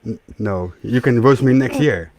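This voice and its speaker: A man's voice: mid-range voice, normal speed, over a noisy crowd, poor audio. A man hosting a casual online stream, reading out viewer messages and cutting in with a laugh. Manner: reads out messages, interrupts with a laugh. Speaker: stream host reading super chats. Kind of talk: casual chat.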